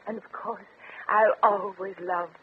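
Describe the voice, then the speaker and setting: An actress playing a shy, lovelorn, nervous older tea room owner, hesitant and fluttery, in 1950s radio comedy style. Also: female voice, mid-range voice, steady tone, a little slow, natural voice. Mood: wistful, shy. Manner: hesitant, fluttery, easily flustered. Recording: crackly, tinny old radio recording.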